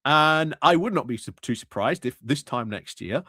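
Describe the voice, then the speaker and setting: A man's voice: on the high side, animated, normal speed, pushed and bright, husky, no echo, studio quality. A man giving casual commentary on meeting clips, hesitant, with many fillers and restarts. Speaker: political commentary streamer.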